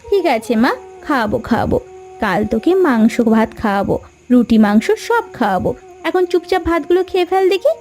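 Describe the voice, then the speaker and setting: A woman as a high, mellow-voiced village mother. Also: big pitch swings, fairly quick. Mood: reassuring. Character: poor, gentle village mother, caring and worried. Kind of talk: Bengali fairy tale cartoon voice acting. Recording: music behind the voice.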